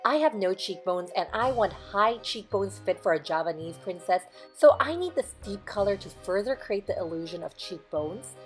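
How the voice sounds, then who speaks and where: A young woman from Indonesia with a hoarse voice, talking through a makeup tutorial step by step in a chatty, instructive voiceover. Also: medium pitch, animated, moderate pace, hardly any pauses, soft background music. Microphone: close voiceover mic.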